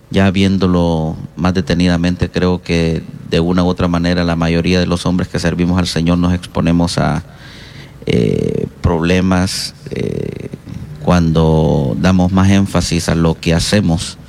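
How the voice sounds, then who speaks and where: Deep, gravelly Honduran man, halting and reflective. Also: a little mellow. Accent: Honduran Spanish. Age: middle-aged. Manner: halting, reflective, hesitant pauses. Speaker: guest pastor and counselor. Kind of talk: reflective interview answers.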